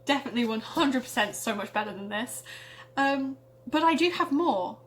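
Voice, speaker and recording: High-voiced woman, dramatic storyteller. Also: slight background noise. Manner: theatrical, exaggerated delivery when narrating. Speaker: storyteller reading own story. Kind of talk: dramatic reading of a parody gothic tale.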